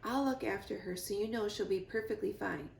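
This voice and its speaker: A woman voicing a chatty, excitable little squirrel who fusses over a friend in a bubbly, rambling way, in a storybook reading. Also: mid-range voice, a little fast, creaky, roomy sound, slight background noise. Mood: fond, fussing.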